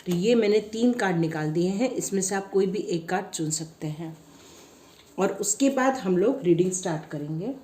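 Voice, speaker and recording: A woman with a sore, slightly strained throat, giving a pick-a-card tarot reading in a gentle, encouraging way. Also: on the low side, lively intonation, moderate pace. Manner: gentle, encouraging, repetitive guidance. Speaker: tarot reader. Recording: echoey room.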